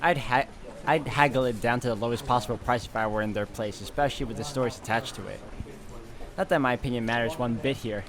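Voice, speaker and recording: A man's voice: mid-range voice, fast, a crowd in the background. A man hosting a game playthrough, reading visual novel narration aloud.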